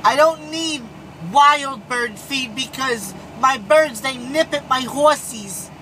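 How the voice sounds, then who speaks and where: A man voicing a whiny, simple-minded prank caller with a silly, drawn-out way of talking. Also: very high-pitched, pushed and bright, a little hiss or hum, pitched above the performer's own voice, full character voice, rough recording. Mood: silly pleading.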